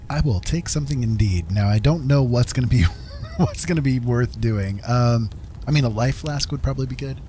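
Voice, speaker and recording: A man's voice: mid-range voice, expressive, normal speed, mellow, faint music behind. A middle-aged man livestreaming a game, chatting casually in a friendly, musing, polite way. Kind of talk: casual chat while gaming.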